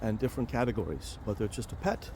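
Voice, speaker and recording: A man hosting a casual vlog while walking outdoors near a highway, chatty and rambling with many fillers and restarts. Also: expressive, quick pace, warm tone, slight background noise. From Romania. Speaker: vlog host, financial planner.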